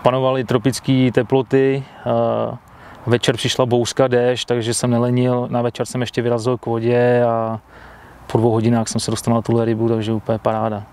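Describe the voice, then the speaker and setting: Flat-spoken man in his thirties, outdoors. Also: mid-range voice, clean tone. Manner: plain, matter-of-fact, flat delivery. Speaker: carp angler being interviewed. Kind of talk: relaxed outdoor interview. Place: outdoors by a lake, seated at a fishing bivvy.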